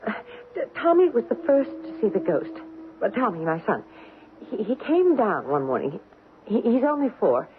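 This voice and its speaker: A woman's voice: medium pitch, big pitch swings, normal speed, narrow, tinny audio, natural voice. An actress playing a frightened, anxious young wife and mother in an old radio drama, nervous and hesitant. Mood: anxious, earnest. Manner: nervous, hesitant, repeating words. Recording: band-limited, noisy old radio recording.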